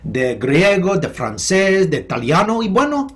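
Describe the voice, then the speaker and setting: A man who grew up speaking English, with an American accent, speaking slowly and thoughtfully as a professor giving an interview, pausing often and restarting his sentences. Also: high-pitched, big pitch swings, fairly bright tone. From United States. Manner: halting, thoughtful, with many restarts and fillers. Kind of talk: remote interview.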